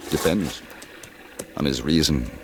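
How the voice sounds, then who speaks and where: A man's voice: very deep voice, slow, a little hiss or hum. A man playing a composed former cavalry officer who narrates his adventure in an old-time radio western, with an understated, dryly laconic manner. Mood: wary, wry. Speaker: lead actor and first-person narrator. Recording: old radio recording with music.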